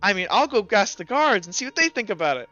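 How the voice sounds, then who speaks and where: A man's voice: high voice, big pitch swings, fast talker, pushed and bright, no echo, muffled recording, soft background music, full character voice. A man voicing a cheeky, street-smart newsboy in a tabletop game, brash and taunting. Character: cheeky, street-smart newsboy hawking papers, sassy and shrewd. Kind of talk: tabletop roleplay character voice.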